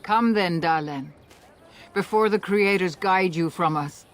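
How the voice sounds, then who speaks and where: Higher-voiced, solemn elven clan elder. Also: male voice, a little slow, fairly bright tone. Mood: tender. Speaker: clan leader. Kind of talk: video game cutscene acting.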